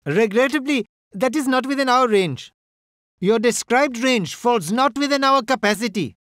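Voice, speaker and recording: A man's voice: very high voice, expressive, moderate pace, fairly bright tone, no room sound, clean studio sound. A man voicing a British Empire artillery soldier from India, giving stiff, oddly worded reports. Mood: dutiful. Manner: formal, oddly phrased reports. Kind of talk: game unit voice lines.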